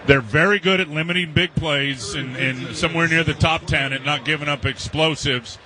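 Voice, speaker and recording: A man's voice: on the high side, normal speed, sharp tone, rough recording. An American man giving conversational, explanatory analysis as a color commentator on live football radio. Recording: radio feed with faint stadium noise.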